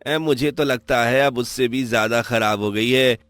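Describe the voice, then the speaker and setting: A blunt, familiar village buddy acted by a man speaks chummily at medium pitch. It is storybook dialogue with a dry sound. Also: normal speed, a bit bright, clear, resonant tone, no echo, close to an everyday voice. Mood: teasing. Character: blunt, familiar male old friend of a farmer.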